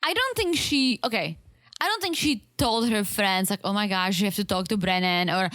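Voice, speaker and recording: A woman co-hosting a podcast about a reality show, chatting casually and acting out quotes in an animated way. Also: medium pitch, normal speed, no room sound. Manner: animated, acts out quotes from the show, frequent restarts. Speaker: podcast co-host.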